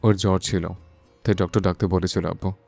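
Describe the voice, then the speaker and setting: A man's voice: low voice, little pitch movement, fairly quick, vocal fry, a little mellow, no room sound, soft background music. A man playing a brooding, lovestruck young son in an audio love story, earnest and insistent, mixing in English words. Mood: pleading, soothing.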